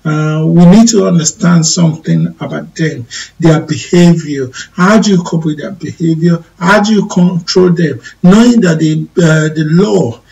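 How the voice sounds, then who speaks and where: A Nigerian man hosting a live call-in talk show from a UK studio, talking slowly with many hesitations and restarts. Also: on the high side, creaky, a little mellow, far from the mic, low-quality recording. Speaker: radio show host.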